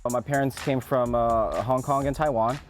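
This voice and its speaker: A man who co-founded a beverage company, speaking in a measured way as he pitches the product. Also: fairly even intonation, soft background music.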